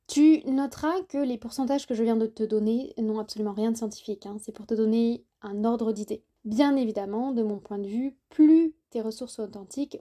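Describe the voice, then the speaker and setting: A woman with a fairly high voice and a very soft, mellow tone hosts an explanatory podcast. She talks informally and straight to the listener, in the manner of a tutor walking someone through an idea. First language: French. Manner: didactic, conversational, addresses listener directly. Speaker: podcast host and French tutor.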